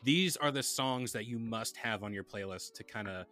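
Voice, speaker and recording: Man, podcast host, casual rambling chat, bright tone, soft music bed behind. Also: mid-range voice, normal speed. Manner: laid-back, rambling, reads sponsor plugs.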